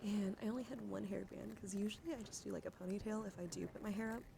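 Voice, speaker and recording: A woman streamer speaking softly and soothingly in an ASMR personal-attention style, often slipping into a whisper. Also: medium pitch, fairly even intonation, fast. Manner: soft-spoken, often drops into whispering; gentle, soothing, coaxing. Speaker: live streamer host. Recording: noisy live stream with clear background noise. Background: background noise, dog outside.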